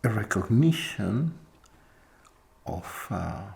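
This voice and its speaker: Slow, low-voiced man teaching softly. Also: very animated, gravelly. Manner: slow, reflective, with pauses and soft laughs. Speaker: spiritual teacher. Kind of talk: spiritual talk to an audience.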